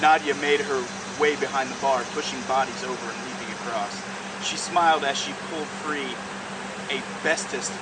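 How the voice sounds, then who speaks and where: Man reading aloud, far from the mic, very noisy. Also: mid-range voice. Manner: breaks into laughter while reading. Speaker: co-host reading a mad-libs story aloud. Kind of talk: amused story reading with casual banter. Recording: very noisy, distant mic, steady background hum.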